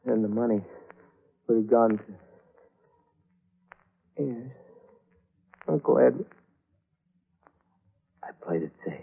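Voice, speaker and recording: Dying scheming husband, slow gravelly rasp. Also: male voice, medium pitch, lively intonation, exaggerated voice. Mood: weak, bitter. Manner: halting, broken phrases. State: weak, gasping as he dies. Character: Tony, scheming husband, dying, coldly vengeful. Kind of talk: 1940s radio thriller acting. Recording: old tinny radio recording.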